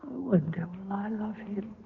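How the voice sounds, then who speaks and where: A woman's voice: medium pitch, lively intonation, moderate pace, creaky, narrow, tinny audio. An actress playing a coy, vain, teasing female phantom muse in an old-time radio drama, musing and needling with questions. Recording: reverberant, thin old radio broadcast.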